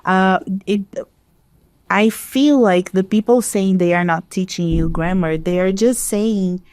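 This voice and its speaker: A woman's voice: medium pitch, measured pace, a little mellow, no room sound. A woman, an English teacher chatting thoughtfully in a casual podcast and laughing easily.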